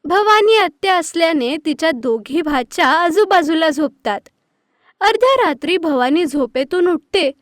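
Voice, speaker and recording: A woman's voice: extremely high pitch, dry recording, studio quality. A woman narrating a scripted children's story.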